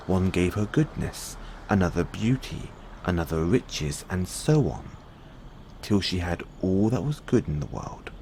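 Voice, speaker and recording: Deep-voiced man telling a bedtime story. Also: a little mellow, slight background noise. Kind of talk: expressive bedtime fairy-tale narration.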